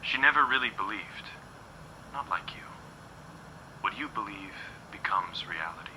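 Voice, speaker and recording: Over faint background noise, a man plays a smug movie star with menace and delusion. His theatrical delivery swings widely, from seductive hush to grandiose bursts, in audio drama style. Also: on the low side, lively intonation, measured pace. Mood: sly, quiet.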